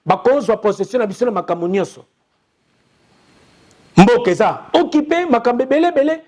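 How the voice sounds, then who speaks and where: A man's voice: very high-pitched, animated, fairly quick, darkish tone. A young man with a Congolese accent giving urgent, insistent news commentary and repeating his appeals to listeners. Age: young adult. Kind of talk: impassioned commentary.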